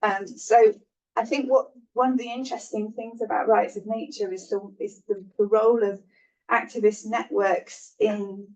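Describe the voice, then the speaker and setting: A woman on a lecture panel adding a point in a measured, explanatory way. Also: mid-range voice, gravelly, a little mellow, highs cut off. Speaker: panel speaker. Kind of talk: answering questions at a lecture panel. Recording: distant mic.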